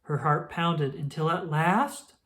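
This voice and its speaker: A woman reading a children's story aloud as scripted narration, in an expressive storytelling style. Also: sits low, takes it slowly.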